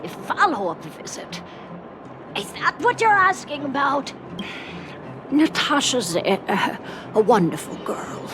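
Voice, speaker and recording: A frail, kindly elderly woman from a video game. She is hard of hearing and speaks haltingly, very slowly, with big swoops in pitch. Music plays clearly behind her. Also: sing-song, full character voice. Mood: gentle, weary. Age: older. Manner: halting, slow, exclaiming. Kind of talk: video game character acting.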